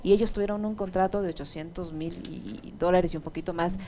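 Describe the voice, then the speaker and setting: A woman's voice: on the low side, fairly even intonation, fast, mellow, a little hiss or hum. A woman radio interviewer asking pressing, long-winded questions.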